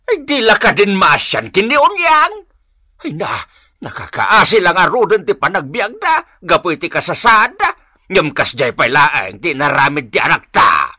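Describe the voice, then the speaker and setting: A woman with an Ilocano accent playing a stern, hot-tempered older wife in a radio drama, emphatic and drawn-out. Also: on the low side, wildly swooping pitch, sharp tone, no echo, studio quality, heavily acted. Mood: pitying.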